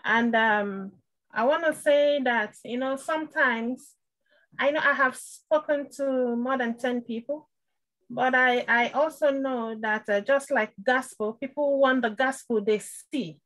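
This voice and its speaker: High-voiced woman giving heartfelt thanks. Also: unhurried, fairly bright tone, low-quality recording. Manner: heartfelt and devout, with short laughs. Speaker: student in an IT training program. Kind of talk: thankful testimony on a webinar. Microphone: video call.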